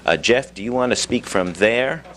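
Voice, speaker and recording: A man's voice: mid-range voice, animated, moderate pace, fairly bright tone. A man moderating a conference, giving a formal introduction of a speaker. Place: live conference with podium. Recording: faint background noise, live event.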